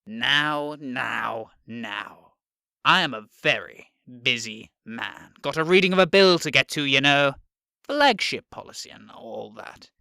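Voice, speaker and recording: An actor playing a smug, menacing Prime Minister in an audio drama, drawling and sarcastic, with theatrical politeness and long pauses. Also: male voice, mid-range voice, expressive, gravelly, pushed and bright, clear, resonant tone, no echo, clean studio sound, heavily acted. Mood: mock-polite menace.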